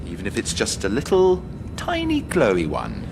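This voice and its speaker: An actor playing a fussy, insecure airline captain in a radio sitcom, prim, pedantic and easily indignant. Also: male voice, lowish voice, wildly swooping pitch, normal speed, heavy background noise. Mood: firm, careful.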